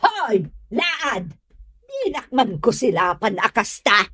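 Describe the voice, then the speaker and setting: Woman acting a shrill, feisty old rival. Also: big pitch swings, slow pace, sharp tone, dry recording, full character voice. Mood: defiant, goading. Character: feisty, scrappy elderly woman, a rival in-law. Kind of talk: comedic Ilocano radio-style drama acting.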